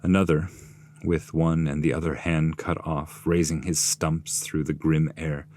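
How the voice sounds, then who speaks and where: A man narrating epic poetry from a script in a measured, solemn manner. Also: extremely low pitch, flat delivery, darkish tone. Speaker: translator and narrator.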